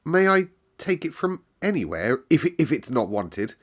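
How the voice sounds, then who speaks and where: A man's voice: on the high side, big pitch swings, measured pace, voice pushed up. A man reading aloud and voicing a sickly, sour little girl who speaks in an eager, pleading way. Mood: timid, pleading. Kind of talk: storybook read-aloud.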